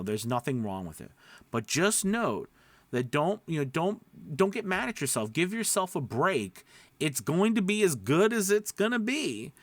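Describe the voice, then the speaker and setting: A man speaks as a coach hosting an advice video, with a widely swinging, animated intonation. His talk is casual and reassuring, full of little check-ins with the listener. The sound is dry, with hardly any room in it. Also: medium pitch, no echo. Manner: encouraging, reassuring; conversational, checks in with frequent "right?" and "okay?". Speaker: voice-over coach and video host.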